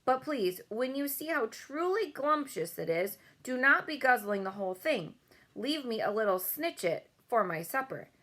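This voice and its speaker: A woman playing a gentle, friendly giant in a storybook, earnest and persuasive, with mangled comic grammar. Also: fairly high pitch, sing-song, moderate pace, pitched above the performer's own voice. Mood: coaxing.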